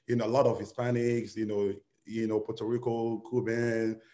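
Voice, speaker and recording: A man's voice: on the low side, flat delivery, moderate pace, warm tone. A young man talking casually as an interview guest, rambling and full of fillers. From Nigeria. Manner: rambling, many "you know" fillers.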